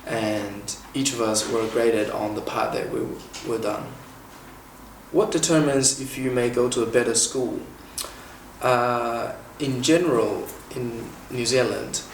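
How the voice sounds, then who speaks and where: A man in his twenties from China talks through a casual question-and-answer session, measured and pausing often with "uh." He is recorded from a little way off in a noticeably echoey room. A faint background hiss sits under his voice. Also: medium pitch, recorded from a distance. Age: 20s. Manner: measured, with frequent "uh" pauses. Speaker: interviewer and former international student. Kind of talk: informal Q&A, explaining and asking questions.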